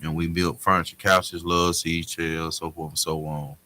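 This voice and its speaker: Deep-voiced man, blunt and slangy. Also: flat delivery, normal speed, pushed and bright, a little hiss or hum. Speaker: panel guest. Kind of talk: casual panel talk, personal storytelling.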